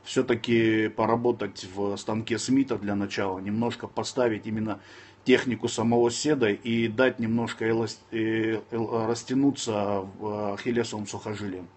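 A man's voice: fairly low pitch, moderate pace. A man in his thirties from Dagestan, answering viewers' questions on a casual livestream from his phone, indoors. He is blunt and curses freely. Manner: blunt, curses and snaps at trolls between calm advice. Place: indoors, sitting in a room. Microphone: phone camera close up.

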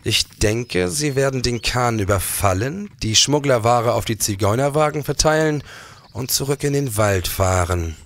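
An actor playing a resolute, shrewd nineteenth-century police detective in a crime audio drama. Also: male voice, mid-range voice, lively intonation, vocal fry, a bit bright, no room sound. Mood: assured. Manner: assured, deliberate.

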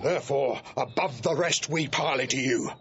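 An actor playing a hot-tempered gentleman outlaw, blunt and theatrical, in a Shakespeare audio drama. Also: medium pitch, moderate pace, close to an everyday voice. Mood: brisk, challenging. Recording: dull, hissy archive recording.

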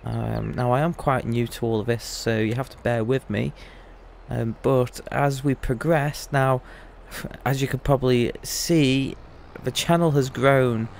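A man's voice: medium pitch, steady tone, unhurried, gravelly, slight background noise. A young man in casual let's-play commentary, chatty and rambling, his voice still a bit rusty after losing it. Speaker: gaming YouTuber.

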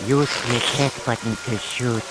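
An actor playing a gloomy, hulking monster butler in a cartoon game, speaking in a formal, servile and droll way. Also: male voice, medium pitch, fairly even intonation, moderate pace, very noisy, lightly acted. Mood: dutifully gloomy.

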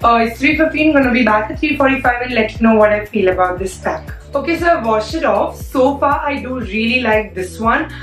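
Hoarse young woman vlogging in echoey room. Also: on the high side, expressive, brisk, few pauses. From India. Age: young adult. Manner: chatty, lots of "like" fillers. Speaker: beauty vlogger. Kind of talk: casual chatty vlog. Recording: echoey room, a bit far from mic, faint music.

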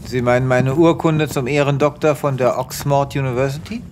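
A man's voice: mid-range voice, fairly even intonation, darkish tone, soft background music, close to an everyday voice. An actor playing a self-important, easily exasperated male space vet in a comedic sci-fi audio drama, pompous and brusque. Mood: curious, smug. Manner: pompous, brusque, halting when flustered.

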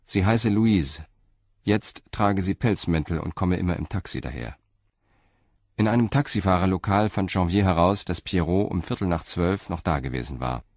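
A man's voice: deep voice, no echo, studio quality. A man reading scripted narration for a crime radio play.

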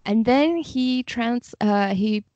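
A woman's voice: fairly high pitch, slow, darkish tone, no room sound, lo-fi sound. A woman speaking casually as a guest in an interview, explaining her work. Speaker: podcast guest, literary translator.

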